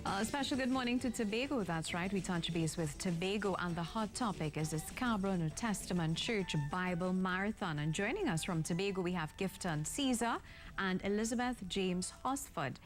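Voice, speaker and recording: A woman's voice: medium pitch, moderate pace, faint music behind. A woman hosting a morning broadcast interview. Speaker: morning show host. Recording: clear background noise.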